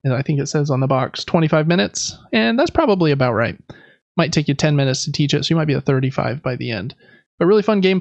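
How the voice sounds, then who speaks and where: A man in his thirties chats as a podcast co-host in an easy, explanatory way. His voice sits a little high with a creaky edge. The sound is dry and somewhat muffled. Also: no echo. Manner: conversational, explanatory. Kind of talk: casual chat about board games.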